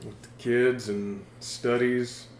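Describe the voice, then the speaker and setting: A man in his thirties talks as a guest on a podcast, with a mid-pitched voice and an easygoing manner. He speaks in a calm, measured way. His voice rings with a roomy echo. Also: a little hiss or hum. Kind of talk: casual chat.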